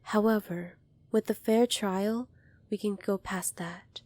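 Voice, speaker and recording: A woman playing a gentle, earnest young alien princess in an ASMR roleplay, speaking softly and politely to the listener. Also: mid-range voice, steady tone, slow pace, no echo, close to an everyday voice. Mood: reassuring, resolved. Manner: soft-spoken, polite, earnest, apologetic.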